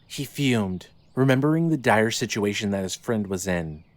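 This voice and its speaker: A man's voice: lowish voice, animated, normal speed. A man narrating scripted fiction in a dramatic storytelling style. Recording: light noise, ambience behind.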